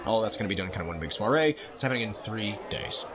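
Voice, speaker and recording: A man's voice: fairly low pitch, lively intonation, quick pace, background noise. A man narrating a tabletop game as game master in a casual, slangy, improvised way.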